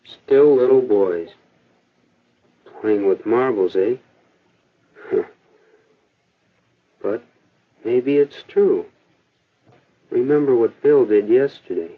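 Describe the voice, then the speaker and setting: A man's voice: mid-range voice, extremely slow, long pauses. A young American man playing a polite, earnest, self-conscious teenage schoolboy in a 1940s educational film. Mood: reflective. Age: teenager or young adult. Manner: earnest, deferential. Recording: dull, lo-fi 1940s film soundtrack.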